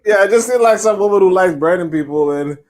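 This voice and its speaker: A man guest on a podcast livestream giving his reaction slowly and laughing as he speaks. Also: sits high, a crowd in the background. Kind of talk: livestream discussion.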